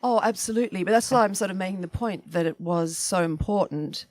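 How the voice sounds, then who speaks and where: A woman's voice: medium pitch, a little fast, darkish tone, dry recording. A woman putting questions in a literary discussion, in a tentative, roundabout way. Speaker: panel questioner.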